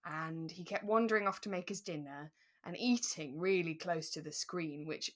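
A woman's voice: lowish voice, moderate pace. Chatty woman, casual podcast co-host. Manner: chatty, often laughs while talking. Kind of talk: casual chat about a radio drama.

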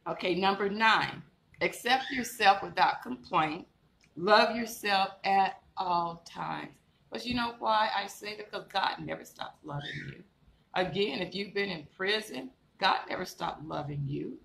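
A woman minister preaches to her online congregation in a low, gravelly voice. She keeps coming back to the same encouraging points and builds them up into emphatic declarations. Her voice rings around a room full of echo, with a faint hiss underneath. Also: fairly bright tone. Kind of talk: live-streamed sermon. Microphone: live stream setup. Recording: echoey room with faint background noise.

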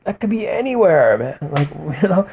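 Passionate, rambling man with a mellow voice. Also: fairly high pitch, moderate pace, vocal fry, a little hiss or hum. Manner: passionate, rambling, peppers speech with "man" and "you know"; animated storytelling with voiced quotes. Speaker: podcast guest, musician and writer. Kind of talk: casual interview talk.

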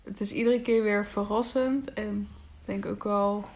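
Thoughtful woman in casual conversation, mid pitch. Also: steady tone, measured pace.

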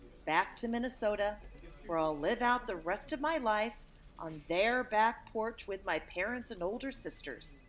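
A woman's voice: mid-range voice, animated, measured pace, lightly acted. A woman playing a young office worker in a comic audio play, wry, exasperated and melodramatic. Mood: melodramatic, dismayed.

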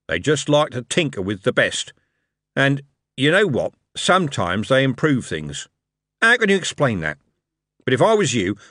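A man's voice: mid-range voice, fairly bright tone, no echo, clean studio sound, pitched above the performer's own voice. A man voicing a frank, practical boss who talks plainly and emphatically, in an audiobook. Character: frank, practical male boss, impressed and cautioning.

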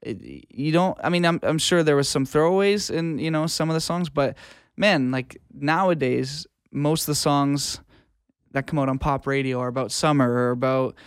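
A man's voice: on the high side, moderate pace, clean tone, no room sound, studio quality. A man speaking as a music producer in a casual interview, explaining reflectively in plain terms and often checking in with the listener. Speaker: music producer and audio engineer, podcast guest.

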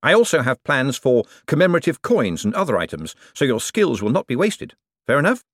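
A man voicing a quick-witted, polite swindler turned official, speaking briskly and persuasively. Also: medium pitch, sing-song, no echo, clean studio sound, pitched above the performer's own voice.

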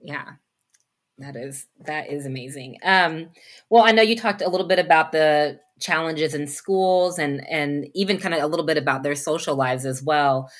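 A woman's voice: low voice, normal speed. A woman hosting an interview, a parent, talking reflectively and thinking aloud with many fillers. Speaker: host, parent of a child who stutters. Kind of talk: conversational interview.

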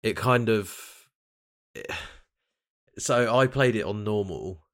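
Male games podcaster, British, very low voice, mildly croaky, groggy, informal chat. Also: expressive, slow. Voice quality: slightly croaky. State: tired and groggy after a night out. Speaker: podcast host. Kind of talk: casual chat about video games.

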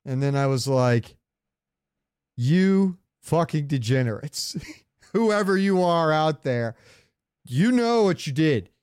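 Clear-voiced man bantering casually. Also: on the high side, animated, takes it slowly, long pauses, darkish tone, dry recording, studio quality. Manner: crude, swearing freely. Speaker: podcast co-host. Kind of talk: casual banter about game sounds.

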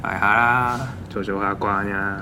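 男演員扮演聲線尖亮、咄咄逼人嘅香港上司. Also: male voice, on the low side, flat delivery, measured pace, bright, forceful tone, slight background noise, full character voice. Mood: pushy, patronising. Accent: Hong Kong. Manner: nagging, preachy, condescending. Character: pushy, scolding male office boss who guilt-trips junior staff. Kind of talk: Cantonese audio drama acting.

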